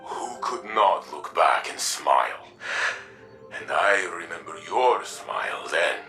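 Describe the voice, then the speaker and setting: A man's voice: deep voice, animated, full character voice. A man playing a sentimental warrior in love in a video game, nostalgic and tender, slightly distant over music. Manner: slow, warmly nostalgic, halting syntax. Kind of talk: video game character dialogue.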